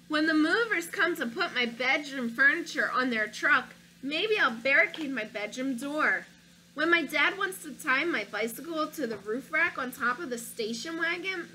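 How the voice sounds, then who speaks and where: A woman teacher reading a picture book aloud to children, with an expressive storytelling delivery, stopping to ask her young listeners questions. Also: high-pitched, slight background noise, poor audio.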